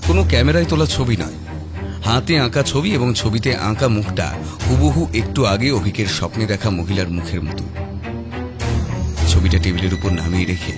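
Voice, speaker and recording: A man narrating a scripted horror story dramatically, with suspenseful, measured phrasing. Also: fairly low pitch. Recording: loud music behind.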